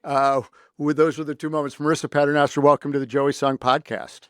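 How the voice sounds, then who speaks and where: A man's voice: a little high, flat delivery, brisk, a bit bright, no echo. Male podcast host, very dry close sound. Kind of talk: casual interview chat with some scripted appeals.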